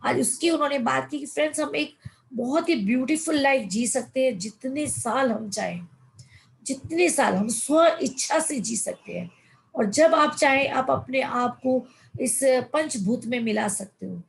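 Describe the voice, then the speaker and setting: A woman in her fifties with a gravelly edge and a fairly high voice leads an online coaching session. She reads a passage aloud, then talks it through for her listeners, calling them friends and mixing English words into her speech. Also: rough recording. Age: 50s. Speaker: life coach. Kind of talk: motivational online session in Hindi.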